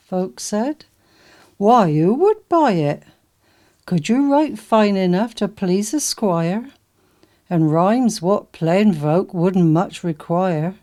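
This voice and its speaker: A woman with a Dorset accent recites dialect verse. Her voice is mellow and mid-pitched, and she takes the lines slowly. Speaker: poetry reader, literary society member.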